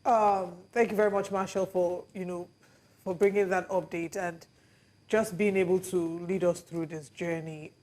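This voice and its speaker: Woman interviewer, slightly low voice, gentle um-y questions. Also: fairly even intonation, normal speed. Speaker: interview host.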